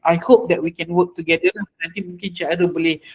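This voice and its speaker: A man's voice: sits high, fairly even intonation, rapid-fire, breathy edge, lo-fi sound. A man with a Malaysian accent hosting an online session, chatting casually with participants and mixing English with Malay. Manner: mixes English and Malay, often repeats phrases. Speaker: webinar host. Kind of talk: casual welcoming chat with participants. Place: online video call.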